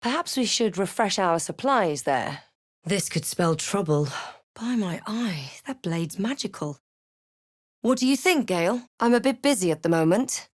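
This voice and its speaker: Fantasy game heroine, guarded adventurer, wry, deliberate dramatic delivery, very dry studio sound. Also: female voice, medium pitch, expressive, no room sound. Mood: wry, watchful. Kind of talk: scripted fantasy game voice lines and narration.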